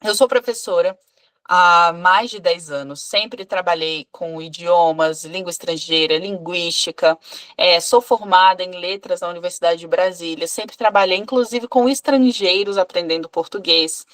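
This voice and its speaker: A woman's voice: on the low side, unhurried, a bit bright. A woman in her thirties from Brazil, an English teacher, talking casually on a live video call with many fillers and restarts. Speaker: English teacher and club co-host.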